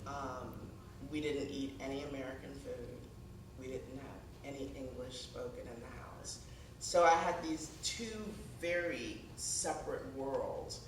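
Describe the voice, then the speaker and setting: A middle-aged woman from Ghana who moved to the US as a child, giving a conversational public talk as an author, telling anecdotes and acting out the dialogue. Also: a bass voice. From born in Ghana, moved to the US at six. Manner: animated storytelling, acts out dialogue. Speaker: guest speaker, author. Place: high school event space, indoors. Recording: echoey room, distant mic, background noise.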